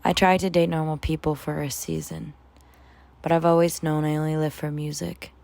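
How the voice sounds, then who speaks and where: A young woman poet reads her spoken word piece in a low voice that crackles with vocal fry. Her delivery is measured and dramatic, yet the melody stays very flat from phrase to phrase. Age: 20s. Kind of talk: spoken word poetry reading.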